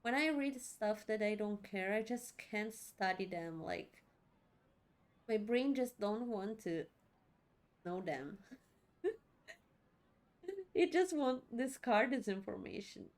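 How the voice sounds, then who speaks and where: A woman's voice: mid-range voice, animated, takes it slowly, pauses often. A young woman doing casual let's play commentary as a streamer, informal and sweary.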